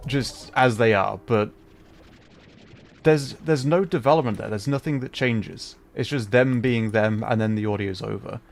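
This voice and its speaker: A man chatting casually on a gaming livestream, thinking aloud in a hesitant, rambling way. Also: medium pitch. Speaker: streamer. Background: game music.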